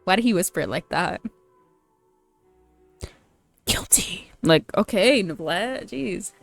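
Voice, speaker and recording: A woman's voice: mid-range voice, lively intonation, takes it slowly, vocal fry, dry recording, soft background music. A woman giving casual, slangy, teasing commentary as she plays through a game. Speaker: streamer reacting to a game.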